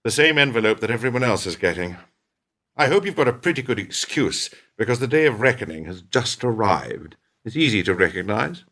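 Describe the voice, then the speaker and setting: Ruthless middle-aged businessman for radio play, male actor, smug and speechifying, very animated delivery, sharp-edged tone. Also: mid-range voice, fairly quick. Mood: callous. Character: ruthless, self-justifying businessman betraying his lifelong partner.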